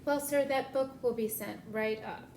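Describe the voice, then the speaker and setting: A woman acting a high-pitched shop clerk in a comic stage play, with formal customer-service manners and a short fuse under them. The room echoes around the voice, with faint noise. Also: steady tone, normal speed, darkish tone, close to an everyday voice. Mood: polite, patient. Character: polite bookstore returns clerk, woman, increasingly exasperated. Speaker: actor in a student play. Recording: roomy echo, low rumble, faint noise.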